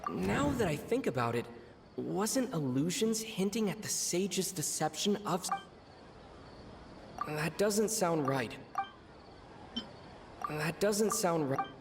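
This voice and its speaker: Slow, pensive young traveler, reverberant. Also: male voice, a little high, animated, over music, exaggerated voice. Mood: pondering. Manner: deliberate, musing, hesitant. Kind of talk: anime video game voice acting. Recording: long reverb, clearly noisy game audio.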